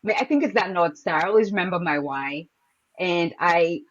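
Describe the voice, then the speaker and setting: A woman from Kenya speaks gently and humbly as a podcast guest. Her voice sits low and husky. The sound is dry and muffled, like a lo-fi remote call. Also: brisk. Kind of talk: casual interview.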